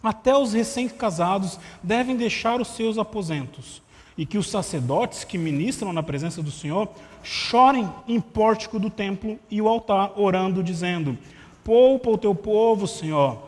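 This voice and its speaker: High-voiced pastor in a reverberant church. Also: male voice. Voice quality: vocal cord problem, voice tires easily. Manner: conversational preaching with rhetorical asides. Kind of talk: sermon. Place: church sanctuary. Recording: live church stream with long reverb.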